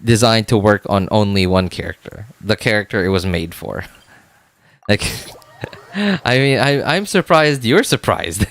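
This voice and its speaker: A man with a fairly low voice chats as a live game streamer. The sound is dry, and his delivery is informal, repeating and restarting phrases as he responds to viewers. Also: lively intonation, a bit bright, no room sound. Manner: halting, repetitive, informal. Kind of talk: casual live-stream chat, answering viewers.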